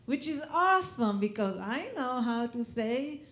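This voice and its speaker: A woman's voice: fairly high pitch, animated, a little slow, fairly bright tone. A woman born in Israel doing a stand-up set, chatty and laughing at her own jokes on stage. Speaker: comedian. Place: stage before a live audience. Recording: live show with crowd noise.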